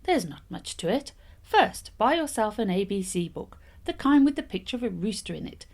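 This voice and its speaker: A storybook doctor , read by a woman, handing out advice. He is matter-of-fact and instructive, with animated intonation. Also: mid-range voice, moderate pace.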